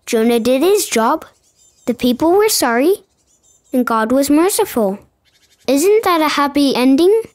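A woman's voice: high-pitched, animated, measured pace. A woman playing a curious, earnest little boy who asks innocent questions during a storybook tale.

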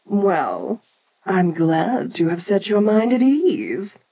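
A woman playing an ancient, domineering giantess kaiju queen in an audio roleplay, imperious and teasing yet protective, speaking with deliberate pauses. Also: medium pitch, very animated, dark tone, slightly rough, heavily acted, poor audio. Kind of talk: second-person audio roleplay acting.

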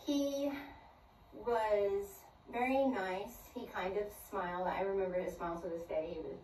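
A woman's voice: mid-range voice, a little slow. An older woman in a phone video recounting a personal story, acting out each line of dialogue. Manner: animated storyteller who acts out dialogue. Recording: roomy far-off clip with faint noise.

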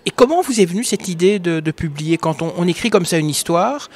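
A man hosting a podcast, asking an author measured questions. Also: sits high, lively intonation. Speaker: podcast host. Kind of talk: interview questions.

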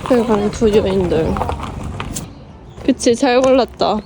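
A woman's voice: mid-range voice, a little slow, background noise. A woman from North Korea chatting casually with friends as a vlogger, in an informal, giggly way. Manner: informal, often laughing while talking.

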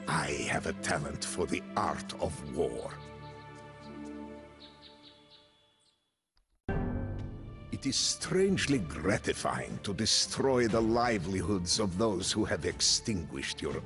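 An actor playing a grim, proud medieval Bohemian mercenary commander, narrating his story solemnly and deliberately for a video game. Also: lowish voice. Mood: defiant, proud. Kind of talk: dramatic scripted first-person narration. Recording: clean voice-over with music behind.

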